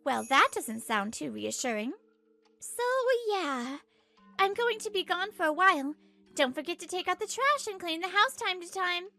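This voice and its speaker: A high-voiced, earnest wife played by a woman narrates her home life in a manga dub. She sounds fed up yet hopeful, with music running underneath. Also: lively intonation, moderate pace, creaky, bright, forceful tone, full character voice. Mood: wary.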